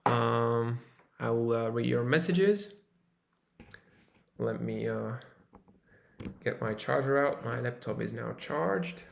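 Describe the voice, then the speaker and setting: A man's voice: medium pitch, slow pace, gravelly, pauses often, echoey room, background noise. A man from Romania hosting a live trading stream, chatting casually with viewers and thinking aloud with fillers and restarts. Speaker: live-stream trader host.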